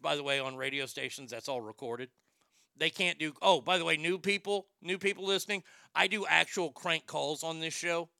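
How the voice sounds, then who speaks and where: A middle-aged man hosting a radio show, telling crude, brash stories in a high tenor voice. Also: clean tone, no room sound, studio quality. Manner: crude, brash, animated storytelling with profanity. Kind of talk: casual storytelling monologue.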